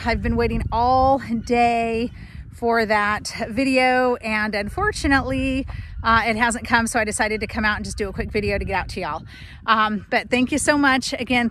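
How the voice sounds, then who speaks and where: A woman's voice: fairly high pitch, a bit bright, slight background noise. A woman, chatting casually to a phone at arm's length on her farm, with plenty of ums and restarts. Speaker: homestead vlogger. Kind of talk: casual update to camera. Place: outdoors on a grassy farm. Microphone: phone held at arm's length.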